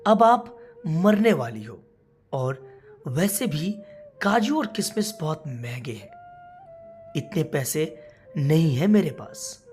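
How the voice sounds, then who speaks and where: Storybook role read by a woman: heartless, penny-pinching daughter-in-law, sarcastic, low-voiced, music underneath. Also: sing-song, slow, pauses often, darkish tone, heavily acted. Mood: cold sarcasm. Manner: sarcastic, scolding.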